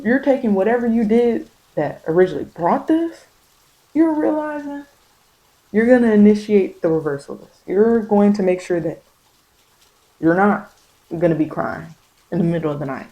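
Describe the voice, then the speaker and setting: A woman's voice: medium pitch, dark tone, rough recording. A woman tarot reader giving spiritual guidance in an informal, ASMR-leaning monologue, speaking straight to the listener with emphatic, repeated phrasing. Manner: repetitive, emphatic phrasing addressed directly to the listener; informal vernacular speech.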